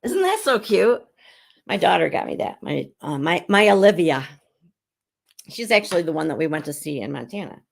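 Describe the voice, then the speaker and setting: A middle-aged woman who is hoarse while getting over an illness, chatting casually as she hosts a live skincare demonstration at home. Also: fairly low pitch, big pitch swings, moderate pace. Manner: chatty and rambling, with frequent restarts. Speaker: beauty consultant and show host.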